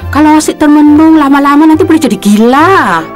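A woman with a Singaporean Malay accent voicing a kindly, playful elderly grandmother in a cartoon, gently chiding and encouraging. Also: high voice, animated, a little fast, soft background music. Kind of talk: cartoon dialogue.

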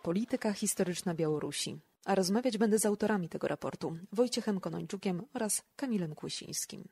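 A woman's voice: mid-range voice, a little fast, dark tone, slightly rough. A woman hosting a podcast, reading scripted narration. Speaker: podcast host.

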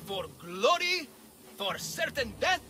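An actor playing a grandiose male barker who announces challengers with drawn-out theatrical flair, in a video game. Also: extremely high pitch, big pitch swings, pushed and bright, exaggerated voice. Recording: game music underneath.

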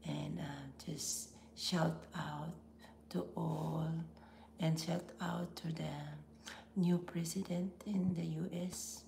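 A middle-aged woman with a Filipino accent, hoarse from laryngitis, hosting a casual live stream from home with slow, half-whispered greetings. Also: low-pitched, level tone, mellow, reverberant, a little hiss or hum. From Philippines. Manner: breathy, half-whispered, slow with frequent pauses and throat clearing. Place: small home office room indoors.

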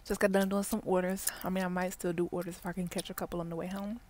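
A woman, chatting casually in a parked car for an ASMR video, often dropping to soft whispers, recorded on a wired earbud mic. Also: on the low side, flat delivery, fast. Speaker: ASMR vlogger. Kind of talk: ASMR gum-chewing car chat. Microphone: wired earbud mic held near mouth. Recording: faint background noise, mouth clicks.

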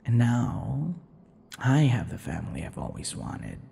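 An obsessive, sadistic male vampire lover for an audio roleplay. The voice is very gravelly and slow, sliding into whispers and swinging wildly in pitch. He sounds vain and possessive, with long reverb around every word. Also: huge pitch swings, dark tone, heavily acted. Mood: reflective, smug. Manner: slow, breathy whispers and giggling, drawn-out words. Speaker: roleplay voice actor. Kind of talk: intimate ASMR boyfriend roleplay.

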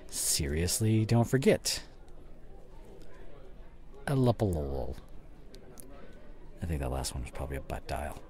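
Young male boyfriend played by a male actor for audio roleplay: forgetful, dismissive gamer, slangy and coaxing, unhurried delivery with lively pitch swings. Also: heavily acted. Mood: distracted, puzzled. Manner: casual, slangy, coaxing, drawn-out phrasing.

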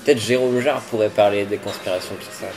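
A man's voice: lowish voice, fairly even intonation, quick pace. A man, hosting a web show in casual, slangy, tongue-in-cheek chat with some hesitation. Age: 20s. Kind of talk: casual scripted chat to camera. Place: busy diner booth, indoors.

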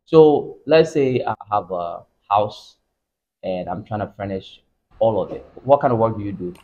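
A man with a low voice hosts a casual interview. He sounds in his thirties. The audio is thin and band-limited, like a phone line. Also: lively intonation, unhurried, dark tone. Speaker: interviewer, channel host. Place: furnished room, seated in armchairs.

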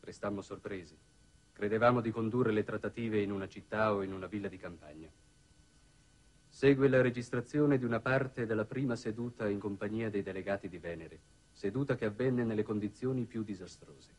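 Guy acting grave young space envoy narrating, low husky voice, dramatic pauses, old 60s tv drama sound. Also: lightly acted. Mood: grave report. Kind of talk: 1960s Italian TV drama narration. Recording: old 1960s television soundtrack.

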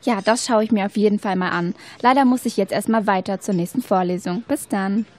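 Woman acting Curious young female student, educational radio play; medium pitch, neat conversational read, open-minded. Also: fairly quick, a little mellow, close to an everyday voice. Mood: reasonable, assured. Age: young adult.

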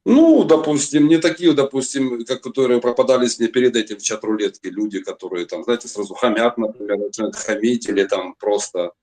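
A man's voice: mid-range voice, fairly quick, few pauses, a little mellow. A middle-aged man from Donetsk, Ukraine, telling his own story at length over a webcam video call, rambling with many fillers and restarts. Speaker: chat roulette guest. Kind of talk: long personal storytelling.

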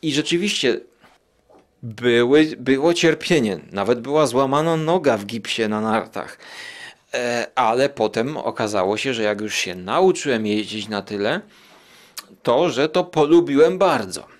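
A man hosting a podcast in a casual, digressive monologue, musing aloud with hesitant pauses and tag questions. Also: medium pitch, animated. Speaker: podcast host.

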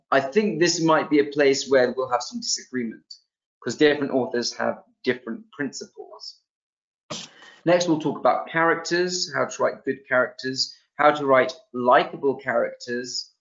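A man's voice: medium pitch, normal speed, a bit bright. Young guy running a webinar over a video call, lots of ums and restarts, kinda muffled dull audio. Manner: loose, thinking aloud with many ums and restarts. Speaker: webinar presenter. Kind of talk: online teaching webinar. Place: small room indoors.

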